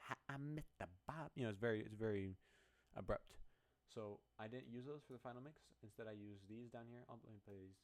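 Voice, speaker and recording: A man with a very low voice talks quickly and casually about his own song. He rambles, restarts his sentences and pokes fun at himself. The pitch stays low throughout. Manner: rambling, self-deprecating, many restarts. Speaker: musician explaining his song. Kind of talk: casual walkthrough of a project file.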